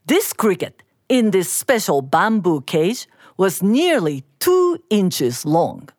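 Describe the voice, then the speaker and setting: A woman from Japan, a native Japanese speaker, telling a folktale live with deliberate, expressive phrasing. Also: mid-range voice, no echo, studio quality. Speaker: storyteller. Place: studio, live before an audience.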